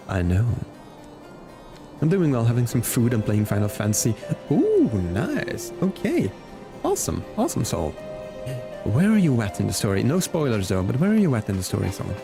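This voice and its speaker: A young Flemish man, a native Dutch speaker, streaming a game and chatting with viewers, animated and laughing often. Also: normal speed, gravelly, long pauses, music in the background. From Belgium. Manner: animated, laughs often, excitable pitch jumps. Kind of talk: casual live-stream chat reading comments.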